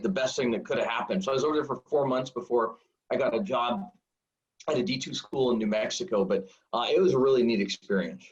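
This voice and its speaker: A man in his forties, a high school football coach, telling stories in a rambling, self-deprecating way full of fillers. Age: 40s. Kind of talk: casual storytelling interview. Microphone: video call. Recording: dull lo-fi video call audio.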